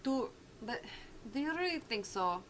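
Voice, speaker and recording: A woman's voice: fairly high pitch, sing-song, unhurried, fairly bright tone, faint music behind. A woman voicing a shy, easily flustered but proud and formal tank girl in a visual novel game. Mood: flustered, bashful. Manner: stammering bashfully, then stiffly formal.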